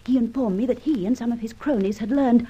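Plucky young heroine, composed, dry, highish mellow voice, muffled old radio. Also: female voice, fast talker, breathy edge. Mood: confiding. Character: plucky, defiant young woman, well-spoken heroine. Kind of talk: old radio drama acting. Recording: muffled vintage radio recording.

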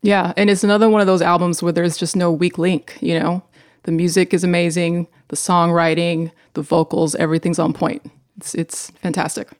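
A woman co-hosting a casual podcast chat about favorite albums, enthusiastic and relaxed. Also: fairly low pitch, normal speed, no echo, studio quality. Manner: enthusiastic, relaxed, laughs easily.

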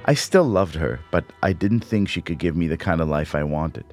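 A middle-aged man, Hebrew speaker, reading a personal essay aloud in a measured, reflective, wry way. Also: sits low, darkish tone, soft background music. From Israel.

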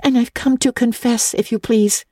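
Woman voicing an earnest, high-pitched little orphan girl. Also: steady tone, normal speed, warm tone, dry recording, studio quality, close to an everyday voice. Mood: tremulous, contrite. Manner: breathless, stammering, talkative, earnest. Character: eager, earnest, imaginative orphan girl, pleading politely.

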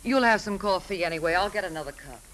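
An actor playing an inquisitive, stubbornly suspicious writer wife in a 1950s radio drama. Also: male voice, high voice, animated, fast talker, a bit bright. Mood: shocked, concerned. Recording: noisy 1950s radio broadcast recording.

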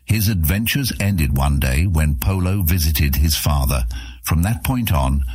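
A man's voice: extremely low pitch, animated, unhurried, a little mellow, husky, dry recording. A man narrating an epic fantasy adventure serial as a scripted audiobook.

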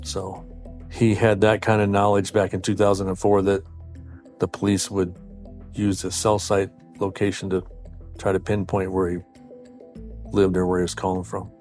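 A man, an investigator, recounting details of a case in a measured, matter-of-fact interview. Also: deep voice, level tone, darkish tone, faint music behind. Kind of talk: interview clip.

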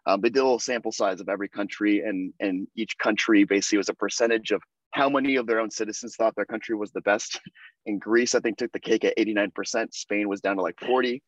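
A man's voice: fairly low pitch, fairly even intonation, quick pace, no echo, dull audio. A young American man, a podcast guest, chatting casually with lots of fillers and restarts. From United States. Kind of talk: casual interview conversation.

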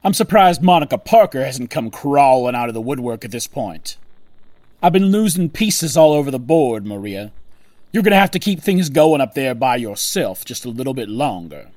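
Male, actor in role: smug, irritable executive schemer, audio drama. Lively, swooping delivery, condescending bluster. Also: medium pitch, normal speed, no echo, lightly acted. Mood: agitated, defensive. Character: scheming corporate boss on the run, irritable, smug.

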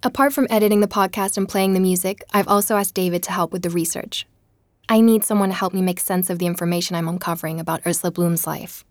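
An actress playing a curious, persistent podcaster in an audio drama, speaking in a conversational, reflective way. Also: female voice, on the low side, fast, natural voice. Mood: casual, thoughtful. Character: curious, persistent woman podcaster investigating a painter's death. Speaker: podcaster investigating an artist, narrator. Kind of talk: audio drama acting, first-person narration and dialogue.

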